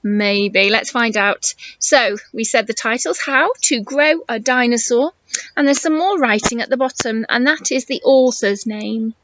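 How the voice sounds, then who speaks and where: A woman teacher reading a story to young children, encouraging and expressive, asking questions and explaining as she goes. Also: on the high side, measured pace, lo-fi sound. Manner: encouraging, expressive, exaggerated intonation for small children.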